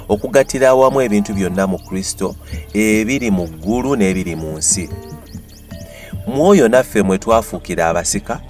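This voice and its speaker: A man's voice: on the low side, a little mellow. A man with a Ugandan accent reads scripture aloud as a scripted narration. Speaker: Bible narrator. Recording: music behind the voice.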